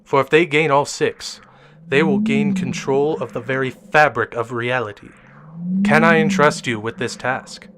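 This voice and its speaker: A man playing a mysterious, manipulative professor in an audio drama, speaking in formal, measured, grandiose lines. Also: mid-range voice, very noisy, close to an everyday voice. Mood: solemn, grand. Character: mysterious, manipulative professor mentor with hidden menace.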